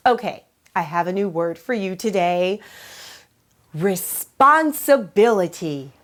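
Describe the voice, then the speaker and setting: A woman's voice: medium pitch, animated, slow. A woman hosting a children's read-aloud, explaining in a teacherly way and answering her own questions. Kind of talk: animated children's storytime presenting.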